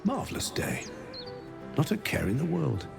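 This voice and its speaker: A man's voice: a little high, wildly swooping pitch, normal speed, vocal fry, music in the background, exaggerated voice. An actor playing an amiable, befuddled man with lost memories in a video game, rambling and trailing off wistfully. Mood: confused. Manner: rambling, absent-minded, trailing off.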